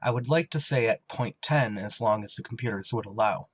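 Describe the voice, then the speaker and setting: A man playing a blunt, solemn spaceship pilot who also narrates a radio drama, deliberate and terse, with buried feeling. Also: medium pitch, slightly rough, close to an everyday voice. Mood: clipped, grim. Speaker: actor and first-person narrator.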